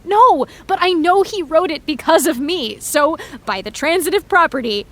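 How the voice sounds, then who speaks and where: A woman voicing an anxious, guilt-ridden teenage girl in an audio drama, talking in a lively, emotional way. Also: very high-pitched. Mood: fretful. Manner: lively, emotional, quick to protest.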